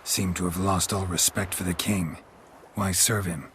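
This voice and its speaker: Gruff world-weary monster slayer from a video game, deep growly voice, dry and terse, noisy background. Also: male voice, fairly even intonation, unhurried, fairly bright tone.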